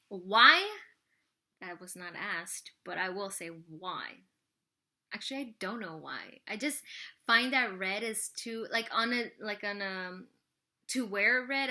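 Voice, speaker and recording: Woman, 30s, vlogger, bright chatty voice, rambling, casual Q&A to camera. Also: mid-range voice. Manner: rambling, full of "like" and "you know". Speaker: vlogger answering viewer questions.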